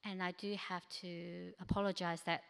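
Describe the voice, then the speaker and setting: Woman speaker, English not her first language, lowish voice, hesitant practical tips, long hall reverb. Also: flat delivery, moderate pace, gravelly, smooth. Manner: practical, advice-giving, with frequent hesitations. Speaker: speaker at a church ministry training. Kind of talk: informal presentation with slides.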